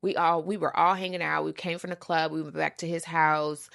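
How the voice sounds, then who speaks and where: A woman hosting a casual storytime, with a lowish, gravelly voice recorded close and dry. She is sassy and emphatic, repeating words to drive a point home and talking in loose slang. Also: little pitch movement, brisk, fairly bright tone, no room sound. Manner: uses slang and swearing. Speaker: storytime podcast host.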